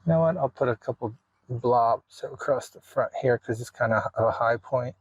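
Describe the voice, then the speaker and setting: A man's voice: medium pitch, moderate pace, breathy edge, poor audio. A man narrating a hands-on DIY vlog in an informal way, thinking aloud as he works. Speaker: video creator showing a DIY radio install.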